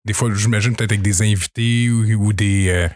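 A man with a Québécois accent hosting a podcast, talking in a relaxed, conversational way. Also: fairly low pitch, a little fast, no room sound, studio quality. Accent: Québécois French. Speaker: podcast host. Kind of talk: casual podcast chat.

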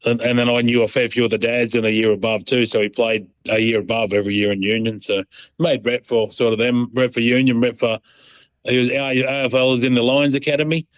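A middle-aged man with an Australian accent is being interviewed as a guest. His medium-pitched voice has a dry sound. He rambles along casually and colloquially, in a laid-back manner. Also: level tone, a little fast, dry recording. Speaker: interview guest, proud sporting dad. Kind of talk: casual phone-in chat.

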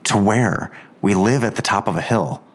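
A man's voice: on the low side, moderate pace, close to an everyday voice. An actor playing a hot-tempered police detective and protective father, blunt and confrontational, in an audio drama. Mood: stern, angry.

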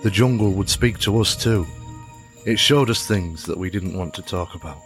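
A man acting in an audio drama as a gentle, worried father who soothes his young son tenderly and earnestly. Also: deep voice, moderate pace, soft background music.

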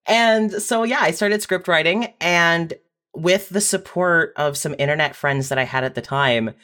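Candid, casual medium-pitched podcast guest. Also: a little slow, bright, forceful tone. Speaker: podcast guest, audio fiction writer.

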